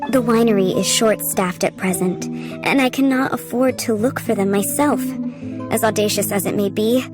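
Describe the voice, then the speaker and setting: A woman voicing a courteous, composed head housemaid in a video game, with polite and measured formal speech. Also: mid-range voice, over music, close to an everyday voice. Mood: concerned. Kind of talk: video game character acting.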